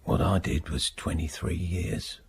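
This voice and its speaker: A man playing a bitter, stubborn long-term prisoner in a radio drama, speaking in terse, brooding fragments with a low, gravelly voice. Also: measured pace, a little hiss or hum, exaggerated voice. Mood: defiant, bitter. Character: long-serving male prisoner protesting his innocence, bitter, stubborn, brooding. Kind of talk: radio drama acting, intimate first-person monologue.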